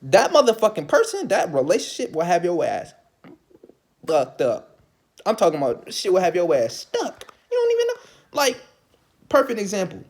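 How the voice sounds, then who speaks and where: A man ranting casually to the camera, swearing often, repeating himself and rising to loud, excited outbursts. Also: high voice, moderate pace. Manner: calls his own voice light. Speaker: vlogger.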